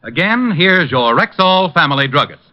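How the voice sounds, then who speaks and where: An American man gives formal announcements for a vintage radio show. His voice is fairly high and animated, on a dull old recording with music behind it. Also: normal speed.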